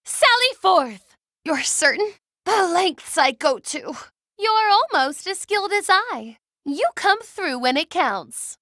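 A woman's voice: very high voice, big pitch swings, slow pace, bright, forceful tone, dry recording, clean studio sound, full character voice. A woman voicing a haughty young noblewoman mage in a video game, theatrical and proud, with formal, lofty diction. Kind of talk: video game battle voice clips.

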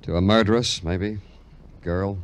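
Low-voiced, world-weary American detective. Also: male voice, steady tone, measured pace, clear, resonant tone. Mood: troubled, weary. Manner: terse, hard-boiled. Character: world-weary male plainclothes police detective, brooding, troubled. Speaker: radio actor playing a detective narrator. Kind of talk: late-1940s to 1950s American radio crime drama acting. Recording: old radio drama, music behind.

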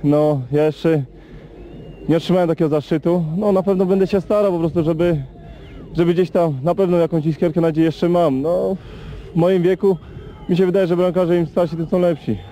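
Dark-voiced goalkeeper, hesitant interview. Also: male voice, a little high, fairly even intonation, slight background noise. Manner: hesitant, halting. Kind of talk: post-match interview. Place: outdoors at a stadium.

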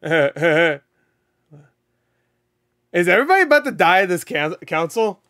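A man's voice: high voice, unhurried, no echo, clean studio sound. Guy in his 30s freaking out over a show, cursing a lot, headset mic, voice getting worn out. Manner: excitable, swears a lot, laughs often. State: losing his voice. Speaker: reaction video host. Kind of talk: casual reaction commentary. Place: home room, indoors.

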